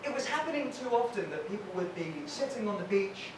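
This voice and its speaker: A man from England with a softened Brighton accent, doing a wry stand-up set in a comedy venue. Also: high-pitched, lively intonation, a little fast. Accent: Brighton English, softened. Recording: echoey, distant, noisy room.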